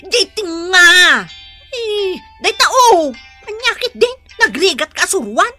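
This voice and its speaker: A woman's voice: very high-pitched, big pitch swings, slow, bright, forceful tone, faint music behind, heavily acted. A woman acting in a comedic radio drama as a bossy, impatient schoolteacher wife, nagging and scolding. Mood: impatient coaching.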